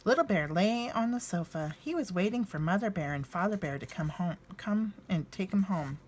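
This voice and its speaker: A woman narrating a children's storybook expressively, with dramatic pauses and emphasis. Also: on the low side, lively intonation, slight background noise. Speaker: storybook reader.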